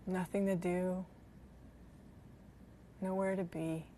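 A woman guiding a body scan meditation in a gentle, soothing manner, leaving long pauses between phrases. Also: fairly low pitch, flat delivery, a little hiss or hum. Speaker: meditation guide.

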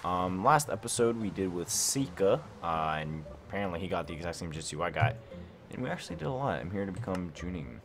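A young man with a low, deep voice talks through a game session at his desk mic in the middle of the night. His delivery swings up and down a lot as he wonders aloud, trails off and restarts. Faint music plays underneath. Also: lively intonation, fairly quick. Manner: rambling, self-questioning, lots of "like" and "I don't know". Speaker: gaming YouTuber. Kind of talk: casual let's-play commentary. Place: bedroom at home, late at night.

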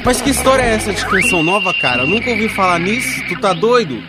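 A man's voice: high voice, animated, fast talker. An actor playing a brash, suspicious young Brazilian man in a radio soap, talking in challenging slang. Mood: suspicious, annoyed. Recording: loud music behind voice.

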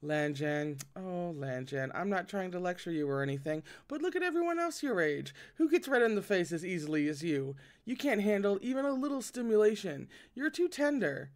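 A man's voice: sits high, sing-song, brisk, voice pushed up. A man voicing a mischievous, teasing young man who whines and jokes in a raised voice. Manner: teasing, whiny, sing-song.

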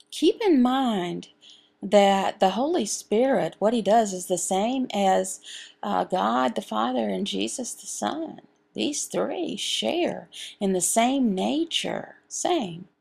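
A woman voicing a signed sermon as interpreter, in a halting, phrase-by-phrase delivery. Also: medium pitch, gravelly, darkish tone. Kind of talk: sermon voiced from sign language.

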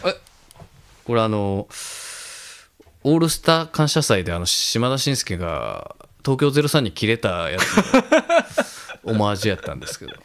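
A young male radio co-host from a small studio setup. His pitch moves around a lot as he presents joke game ideas in an animated delivery. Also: moderate pace, pauses often. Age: young adult. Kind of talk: reading out comic game pitches. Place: small indoor studio room. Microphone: stand microphone with headphones.

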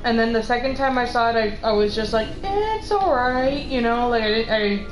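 Animated young woman chatting, roomy sound. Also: on the high side, fairly quick. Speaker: co-host of a game stream. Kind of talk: casual chat over gameplay. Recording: roomy stream with game music behind. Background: video game music.

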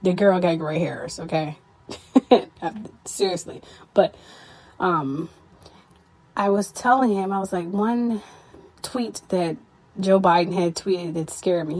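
A tired, stressed woman hosting her own podcast, talking candidly to listeners in a casual personal update, her voice a little hoarse. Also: fairly low pitch, darkish tone, poor audio. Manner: candid, weary, stressed, with frequent "you know". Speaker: podcast host.

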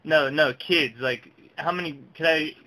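A man's voice: a little high, a little slow, sharp tone, rough recording. A young man making casual prank calls in a slangy, mock-earnest way, recorded on a webcam in a small room. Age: young adult. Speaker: prank caller. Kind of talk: casual prank phone chat.

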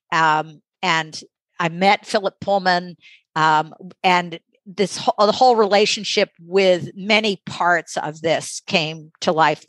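Mature woman, interview guest, slightly low voice, slow halting delivery, reflective, conversational. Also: fairly bright tone, no room sound. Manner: halting, reflective, pausing often mid-sentence. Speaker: interview guest, audiobook magazine editor.

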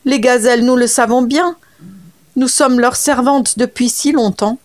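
A woman's voice: fairly high pitch, fairly even intonation, a little slow, voice pushed up, lightly acted. A woman voicing a know-it-all female gazelle who scolds the leopard. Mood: mocking. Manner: scornful, lecturing.

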